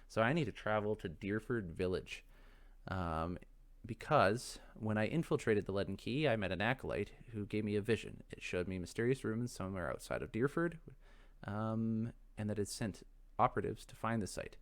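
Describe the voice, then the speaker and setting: A man's voice: fairly low pitch, lively intonation, moderate pace. A man giving casual video game commentary, rambling and musing aloud as he thinks things through. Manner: rambling, musing, talks to himself. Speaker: let's play host.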